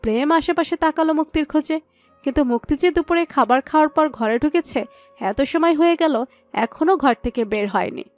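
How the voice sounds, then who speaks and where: A woman's voice: sits high, expressive, quick pace. A woman narrating a romantic audio story from a script. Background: background music.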